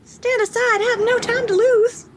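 Very high, melodramatic superheroine played by a woman. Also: fairly even intonation, normal speed, noisy background. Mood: resolute. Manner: melodramatic, declamatory. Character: resolute, dramatic young superheroine who turns invisible. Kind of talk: amateur superhero radio play acting.

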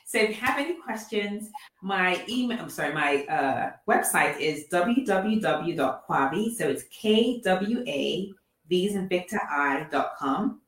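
A woman in her forties from Nigeria, telling her story as a guest in a casual interview, with animated, lively delivery. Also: fairly low pitch, measured pace, roomy sound, far from the mic. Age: 40s.

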